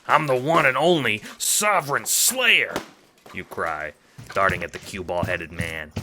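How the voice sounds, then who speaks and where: A man's voice: deep voice, fairly bright tone. A man reading scripted, dramatic narration for an audiobook-style drama.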